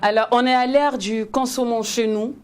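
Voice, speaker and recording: A woman hosting a television talk panel, brisk and teasing with her guests. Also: a little high, fairly even intonation, a little mellow. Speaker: talk show host. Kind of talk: moderating a panel discussion. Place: television studio.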